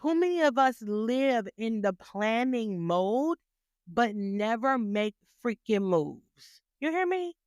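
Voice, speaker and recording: A woman's voice: on the high side, big pitch swings, takes it slowly, clear, resonant tone, no echo, studio quality. A woman hosting a podcast, giving a motivational talk full of rhetorical questions and repeated phrases, with a voice she calls graceful yet stern. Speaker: podcast host.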